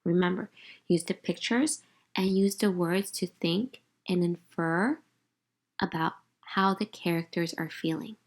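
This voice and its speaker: Creaky-voiced woman teaching a read-aloud. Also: on the low side, unhurried. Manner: instructive, addresses listeners directly. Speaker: teacher reading aloud to young readers. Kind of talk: read-aloud lesson, storybook narration and guided questions.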